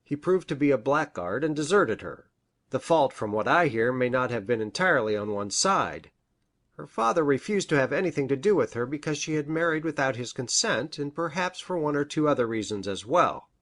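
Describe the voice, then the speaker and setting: A man's voice: medium pitch, expressive, fairly quick, fairly bright tone, natural voice. A man playing a worldly, gossipy country doctor in a radio mystery drama, confiding and matter-of-fact.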